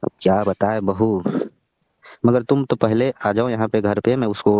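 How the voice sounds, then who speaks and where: A middle-aged father-in-law, played by a man in a phone-call drama. His voice is low and very dry, with no room around it. He coaxes and reassures, anxious over family honour. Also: steady tone, normal speed, warm tone, no room sound, close to an everyday voice. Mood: troubled, ashamed. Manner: coaxing, reassuring. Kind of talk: staged phone-call drama in colloquial speech. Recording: dull, lo-fi dry call audio.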